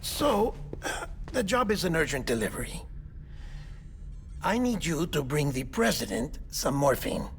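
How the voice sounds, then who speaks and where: An actor playing an eccentric, chatty middle-aged coroner in a video game cutscene, with theatrical, halting phrasing. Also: male voice, fairly high pitch, sing-song, creaky, soft background music, exaggerated voice. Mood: earnest, persuasive. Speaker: doctor and former coroner in a game story.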